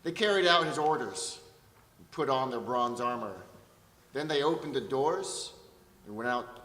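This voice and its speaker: A man's voice: medium pitch, expressive, measured pace, a bit bright. A man giving a dramatic, declamatory storytelling reading of an ancient epic on stage. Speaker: narrator of excerpts from Homer's epic. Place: theatre stage at an arts centre. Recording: echoey hall, distant from mic.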